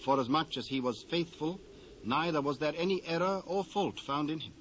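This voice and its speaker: A man with a British accent narrating scripture from a script in a measured, formal manner. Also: on the high side, fairly bright tone. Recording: faint hiss, old cassette transfer.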